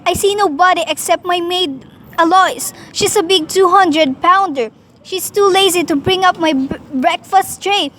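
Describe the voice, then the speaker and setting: A woman's voice: very high-pitched, unhurried, fairly bright tone, a little hiss or hum. A woman playing an anxious, demanding bedridden wife in a radio play, fretful, pleading and imperious. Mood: nervous. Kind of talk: radio play dramatic acting.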